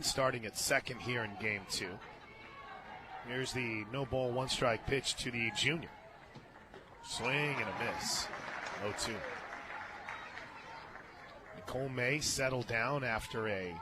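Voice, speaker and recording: An American man doing live play-by-play radio commentary on softball, chatting between the calls. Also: mid-range voice, expressive, unhurried, pauses often, crowd noise, noisy background. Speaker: play-by-play announcer. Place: outdoor stadium radio booth.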